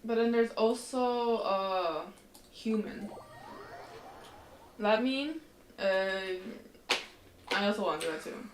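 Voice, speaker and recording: A woman's voice: mid-range voice, slow pace, pauses often, roomy sound, background noise. A woman livestreamer chatting casually while playing a game, rambling in a slangy, informal way. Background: faint game music.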